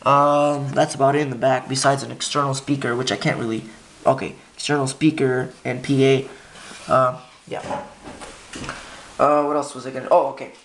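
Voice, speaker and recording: Man with flat, hesitant, casual delivery. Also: mid-range voice, moderate pace, creaky. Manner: hesitant, self-correcting, informal. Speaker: hobbyist demonstrating a radio. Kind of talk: casual unscripted gear walkthrough. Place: indoor room by a window. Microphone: handheld camera's built-in microphone. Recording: noisy amateur camera audio with clicks.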